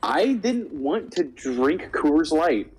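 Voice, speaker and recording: A man's voice: fairly high pitch, very animated, slow pace. A young man in casual conversation as a co-host, telling stories with swearing and joking banter. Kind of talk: casual storytelling chat over a game.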